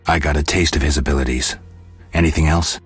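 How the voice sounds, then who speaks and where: An actor playing a sardonic, terse monster hunter in a video game, with a dry, laconic delivery. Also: male voice, rumbling low, fairly even intonation, brisk, breathy edge. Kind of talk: video game character acting. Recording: loud music behind the voice.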